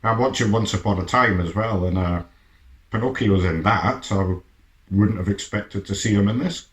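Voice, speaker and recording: A middle-aged man giving casual reaction commentary as a show host, halting and musing as he lets thoughts trail off. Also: lowish voice.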